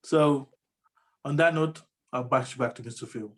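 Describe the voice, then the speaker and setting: A man's voice: medium pitch, normal speed. A man co-hosting a motivational talk show, speaking earnestly and encouragingly with frequent "you know". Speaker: podcast co-host.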